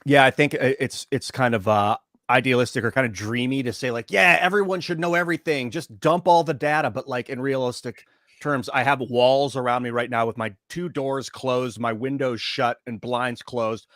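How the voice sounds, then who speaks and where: A man hosting a podcast interview from a closed private room, asking questions in a halting way, searching for words. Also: medium pitch, steady tone, fairly bright tone, dry recording, studio quality. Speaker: podcast host.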